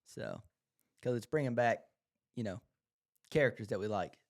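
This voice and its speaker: A man's voice: lowish voice, expressive, normal speed, vocal fry, no room sound, clean studio sound. A man co-hosting a podcast, talking casually as he reacts to a TV show. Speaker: podcast co-host.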